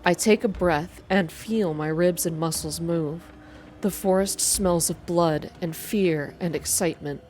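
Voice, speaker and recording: A woman reading first-person story narration aloud in a soft, hushed, measured ASMR style. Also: fairly low pitch, soft background music. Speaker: narrator reading a visual novel game aloud.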